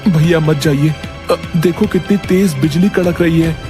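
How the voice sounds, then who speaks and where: A man's voice: fairly high pitch, measured pace, over music, close to an everyday voice. A man voicing an anxious, loyal younger brother who pleads, in a cartoon story. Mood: scared. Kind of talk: cartoon story dialogue in Hindi.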